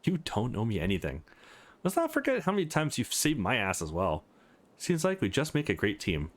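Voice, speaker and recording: Man acting an earnest young hero, very animated. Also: mid-range voice, a little fast. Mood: friendly. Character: caring, earnest young male student gamer hero.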